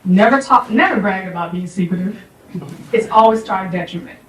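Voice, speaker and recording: A woman's voice: mid-range voice, fairly quick. A middle-aged American woman presents to a live audience in an emphatic, instructive way. She is picked up from a distance in a roomy space, with faint noise around her. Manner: emphatic, instructive, checks in with listeners. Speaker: speaker, sociology professor giving a presentation. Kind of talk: informal public talk to a community audience.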